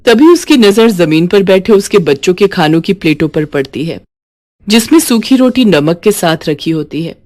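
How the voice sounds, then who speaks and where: A woman's voice: on the low side, lively intonation, moderate pace, darkish tone. Woman narrating a moral story.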